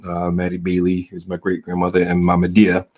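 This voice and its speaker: A man with a very low voice from the American South talks straight to his viewers. His delivery is loose and unhurried, full of ums, "you know"s and half-started sentences he circles back to. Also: monotone, darkish tone. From Southern United States. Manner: hesitant, rambling, many fillers and restarts. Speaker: channel host. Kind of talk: casual personal monologue.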